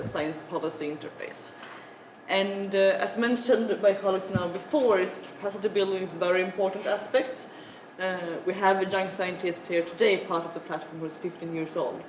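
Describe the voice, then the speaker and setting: Young woman giving a halting panel talk. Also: lowish voice. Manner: halting, many filler sounds. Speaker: panel speaker. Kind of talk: conference talk. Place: large conference room. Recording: echoey conference room, noisy.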